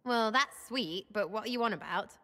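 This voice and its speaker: A woman's voice: medium pitch, expressive, normal speed, sharp tone. An actress playing a cheeky, impulsive young woman rogue in a video game, talking in slangy, irreverent, blurted fragments. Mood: surprised, delighted.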